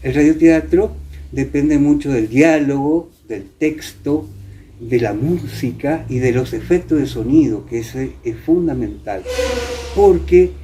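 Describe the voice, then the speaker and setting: Mellow Argentine man reminiscing, slightly noisy recording. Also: mid-range voice, measured pace. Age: middle-aged or older. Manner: hesitant, explanatory, seeks agreement with tag questions. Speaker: interviewee, former radio actor. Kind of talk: reminiscing interview talk.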